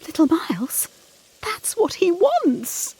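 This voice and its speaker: Anxious, high-voiced young governess. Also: female voice, wildly swooping pitch, slow, creaky, warm tone, full character voice, low-quality recording. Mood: agitated. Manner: intense, questioning, formal period diction. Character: earnest, anxious, insistent young Victorian governess. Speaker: actor in an audio play. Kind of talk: gothic period audio drama acting.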